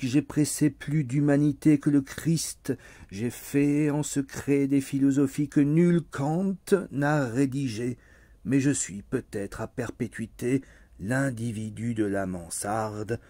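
Slow, mellow man reciting poetry. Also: mid-range voice, no room sound. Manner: solemn, deliberate, theatrical with long pauses.

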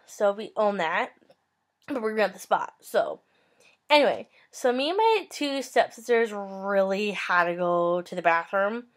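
A woman's voice: medium pitch, a little slow. A young woman telling a story to her webcam in a casual, upbeat vlog, gesturing as she talks from a small bedroom. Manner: chatty, upbeat, animated hand gestures. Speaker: vlogger. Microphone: webcam at close range.

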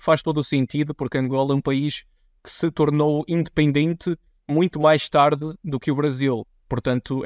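A man's voice: medium pitch, smooth, dry recording. Young Portuguese man chatting casually, close and dry. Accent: European Portuguese. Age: young adult. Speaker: reaction video host. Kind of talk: casual off-the-cuff commentary.

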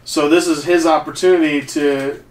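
A man's voice: a little high, steady tone, moderate pace. A man chatting casually with friends in a small home den, telling stories with lots of fillers. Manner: rambling storyteller, many fillers. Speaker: co-host. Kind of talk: casual chat. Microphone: far camera mic. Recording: echoey room from far off.

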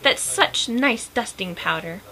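A woman playing an earnest, bright-voiced storybook housemaid. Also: a little high, animated, unhurried, heavily acted, rough recording. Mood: innocently pleased. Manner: innocent, earnest. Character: literal-minded, cheerful, eager-to-please housemaid in a storybook.

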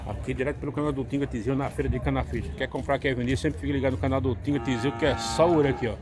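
A man's voice: mid-range voice, steady tone, racing, music in the background. A man from Northeast Brazil walking around outdoor cattle pens, chatting to viewers and calling out prices on his phone camera. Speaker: vlogger showing a cattle market. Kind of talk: casual walk-around commentary. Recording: crowd noise of a busy market.

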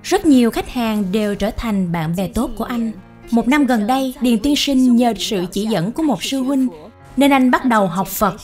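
A woman narrates a scripted tale. Her voice sits on the high side. Gentle background music runs beneath the narration. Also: lively intonation, normal speed. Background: soft music.

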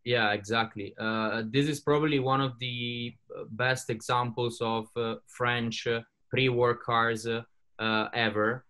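A man's voice: medium pitch, level tone, measured pace, bright, forceful tone, clear, resonant tone. A man on a video call, speaking hesitantly with many fillers but enthusiastically about classic cars on a panel.

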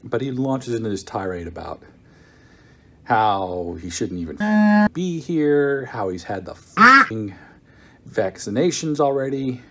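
A man's voice: mid-range voice, lively intonation, a little slow, pushed and bright. A middle-aged man from the United States, recording a wry, chatty video diary on a phone held at arm's length in a hotel room. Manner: chatty, wry, self-mocking storyteller. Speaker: vlogger. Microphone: phone camera at arm's length.

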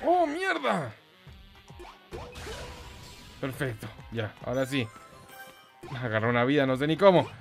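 A young man giving casual, excitable, foul-mouthed commentary as host of a gameplay video. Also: mid-range voice, a little slow, long pauses. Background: game music.

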